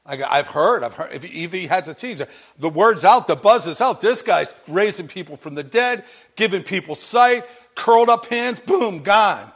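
A man's voice: sits high, animated, normal speed, hardly any pauses, a bit bright. A man preaching a sermon with a big voice, telling a story with energy and acting out bits of dialogue. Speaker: pastor.

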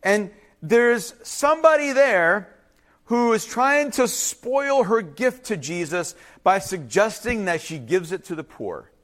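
A man with a high-pitched, highly animated voice delivers a sermon. He is a native Ukrainian speaker. He reads and explains scripture informally, breaking off for asides and turning to his listeners to check they follow. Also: slow. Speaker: preacher.

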